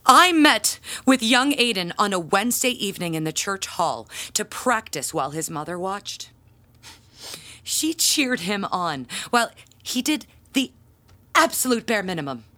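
A woman's voice: medium pitch, very animated, measured pace, bright, forceful tone. A woman acting in an audio drama as an aggrieved, pompous church handbell ringer, speaking in a theatrical, indignant manner. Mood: melodramatic outrage. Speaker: parishioner in confession.

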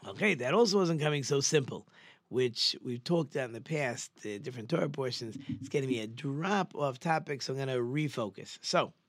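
A man's voice: medium pitch, expressive, fairly quick, no echo, clean studio sound. A man giving an informal religious lecture, conversational, with rhetorical questions and emphatic repetition. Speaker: religious teacher giving a Torah class.

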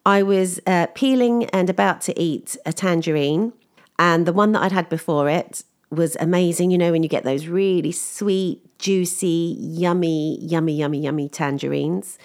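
A woman chatting casually to listeners in a personal update, slightly croaky from lack of sleep, laughing as she tells embarrassing stories. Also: fairly low pitch, normal speed, darkish tone. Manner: chatty, self-deprecating, laughs while telling stories. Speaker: vlogger.

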